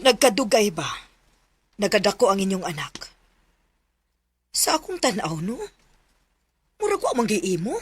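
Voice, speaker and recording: A woman's voice: medium pitch, sing-song, a little slow, pauses often, slightly rough. An actress playing a suspicious, meddling middle-aged mother in a radio drama, with a Cebuano accent. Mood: suspicious, insinuating. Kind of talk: Filipino radio drama acting.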